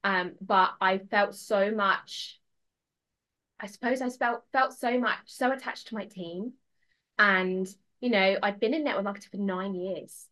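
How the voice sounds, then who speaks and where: A woman with a bright, forward voice at a middling pitch talks as a guest on a podcast. She is conversational and earnest, often doubling up phrases to drive a point home. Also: a little slow. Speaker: podcast guest, personal transformation mentor. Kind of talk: casual conversational interview.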